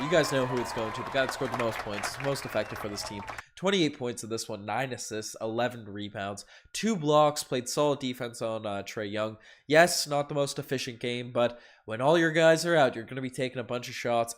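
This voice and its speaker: A stuffed-up man with a cold hosting a casual post-game reaction stream, rambling with frequent restarts. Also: medium pitch. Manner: rambling fan talk with many restarts, swings loud and soft. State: congested with a cold. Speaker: sports podcast host.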